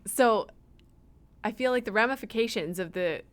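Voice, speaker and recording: A woman's voice: on the high side, moderate pace. A woman hosting a podcast, interviewing her guest in a casual, conversational way.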